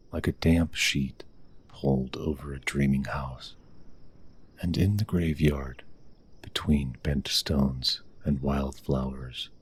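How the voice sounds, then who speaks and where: A man narrating a scripted ASMR fantasy game as game master, hushed and deliberate, with dramatic pauses. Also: a bass voice, husky.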